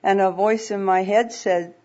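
A woman's voice: mid-range voice, steady tone, measured pace, dark tone. A woman from the congregation sharing a personal testimony, telling her story earnestly and plainly with some fillers. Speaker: congregation member giving a testimony. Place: church service. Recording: dull lo-fi church recording.

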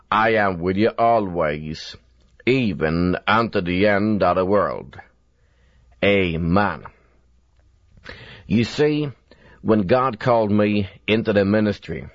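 Slow, deep-voiced older preacher. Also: male voice, no echo. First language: Swedish. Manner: slow, earnest preaching with deliberate emphasis. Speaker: evangelist preacher. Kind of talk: recorded sermon. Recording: dull lo-fi dry recording.

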